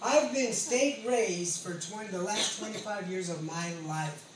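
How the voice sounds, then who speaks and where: A man's voice: high voice, a little slow, crowd noise. An American man in his late forties giving an earnest, emphatic testimony as a preacher, often repeating his phrases. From California, United States. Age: late 40s. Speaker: pastor and ex-convict preacher. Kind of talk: testimony sermon. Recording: roomy echo, distant mic, background noise.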